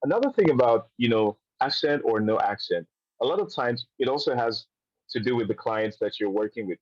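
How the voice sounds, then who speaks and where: A man with an American accent speaking on a video call, a voice-over artist who jumps in eagerly with his point. His mid-pitched voice is dry and slightly muffled. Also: lively intonation, fairly quick, a little mellow, dry recording. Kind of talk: casual panel talk.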